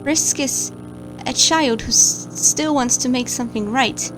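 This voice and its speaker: A woman's voice: high-pitched, unhurried, rough recording. A woman playing an anxious, guilt-ridden watcher of camera feeds, who stammers and hesitates as she narrates a horror log over music.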